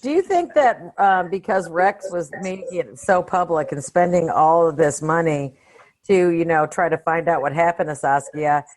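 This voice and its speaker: A woman's voice: lowish voice, moderate pace, a little mellow. A woman co-hosting a casual film discussion in a conversational, questioning way. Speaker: podcast co-host.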